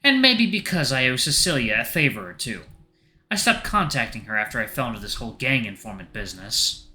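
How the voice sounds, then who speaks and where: A man's voice: mid-range voice, sing-song, brisk, bright, forceful tone. A man voicing a weary older informant who is dry, guarded and grudgingly protective.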